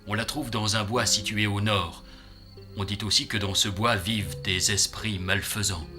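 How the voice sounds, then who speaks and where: A man's voice: on the low side, fairly even intonation, moderate pace, music in the background, close to an everyday voice. A man voicing a grave, caring doctor in a children's cartoon, explaining things solemnly and with measure. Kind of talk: dubbed children's cartoon acting.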